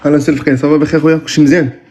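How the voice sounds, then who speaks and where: A man's voice: on the high side, level tone, rapid-fire, mellow. A man in his thirties with a Moroccan Arabic accent, a chatty caller on a video call who keeps swearing by God. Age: 30s. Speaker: caller on a live stream.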